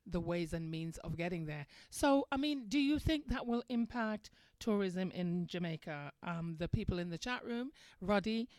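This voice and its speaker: A woman's voice: lowish voice, expressive, moderate pace, hardly any pauses, a little mellow, no echo. A woman hosting a live internet radio show, talking conversationally and putting questions to her listeners. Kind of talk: live internet radio commentary, addressing chat room. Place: radio studio.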